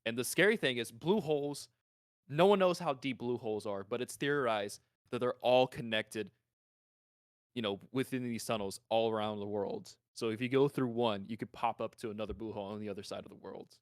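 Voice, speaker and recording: A man co-hosting a podcast, talking casually and informally in an animated way. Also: medium pitch, a little fast, clear, resonant tone, dry recording, studio quality. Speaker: podcast co-host.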